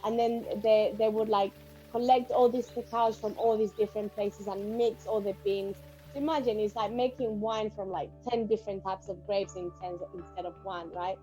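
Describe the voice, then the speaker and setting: A woman's voice: mid-range voice, fairly even intonation, normal speed, warm tone, faint music behind, low-quality recording. A woman from Ecuador, a guest in a casual conversation, telling a long story earnestly with many "like"s and "you know"s. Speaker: cacao seller, guest.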